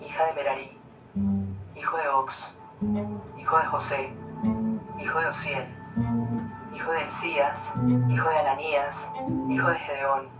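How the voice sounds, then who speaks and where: Woman reading as a very low, flat voice, loud guitar behind, muffled and far. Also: reverberant. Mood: solemn. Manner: slow, measured, listing. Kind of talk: solemn recitation over guitar.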